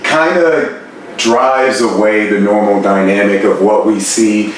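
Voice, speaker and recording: A man's voice: fairly low pitch, fairly even intonation, slow pace, slight background noise. Man in his forties, enthusiastic interviewer, chatty, very roomy echo, off-mic. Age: 40s. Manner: eager, chatty, many fillers. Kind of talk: casual interview chat. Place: festival venue. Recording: roomy echo, distant mic, faint ambience.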